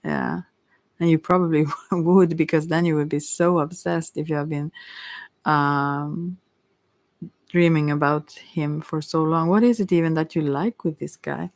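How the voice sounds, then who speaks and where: Casting: woman for improvised tarot ASMR; gravelly fry, very dry recording, meandering unscripted delivery. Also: low-pitched, steady tone, a little slow, darkish tone, no echo. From Sweden. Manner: hesitant, rambling, often trailing off. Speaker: tarot reader, ASMR creator. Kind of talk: ASMR tarot reading, casual improvised chat.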